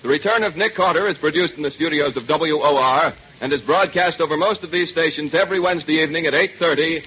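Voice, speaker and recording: A man's voice: on the high side, fast, husky. A man announcing a 1940s radio serial in a formal, polished scripted delivery. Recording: muffled 1940s radio recording.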